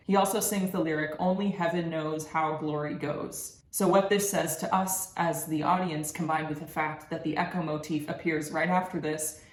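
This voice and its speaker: A young adult woman giving a prepared academic presentation as a student, articulate and explanatory. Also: deep voice. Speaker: student presenting thesis research. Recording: echoey room with background noise.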